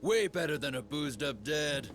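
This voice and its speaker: A man's voice: fairly high pitch, expressive, normal speed, fairly bright tone. An actor playing a menacing pirate gang boss, a man who taunts and leers with mock politeness in a video game. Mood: leering, mocking. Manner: taunting, leering. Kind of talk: video game villain acting. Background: faint game music.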